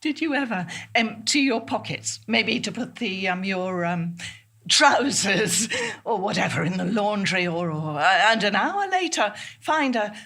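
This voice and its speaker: An actress playing an unsettled, obsessive woman in a theatrical monologue, halting, breaking off and repeating herself. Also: medium pitch, expressive, fairly bright tone. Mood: musing, agitated. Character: unsettled woman convinced reality keeps shifting, halting and obsessive.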